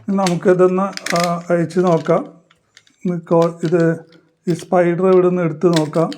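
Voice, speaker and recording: A man explaining a hands-on demonstration in halting, short phrases, like a tutorial presenter. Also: high-pitched, little pitch movement, a little mellow, a little hiss or hum.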